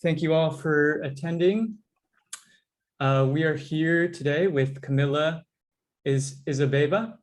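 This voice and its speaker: A man hosting a webinar, introducing the guest speaker hesitantly with many fillers. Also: fairly high pitch.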